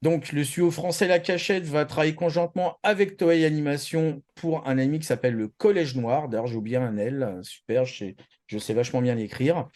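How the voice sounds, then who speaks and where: A man with a fairly high-pitched voice co-hosts a casual news chat. He speaks in slang, gives his opinions freely and often halts mid-phrase as he goes. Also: fairly quick, a little mellow. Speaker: co-host of an anime news show.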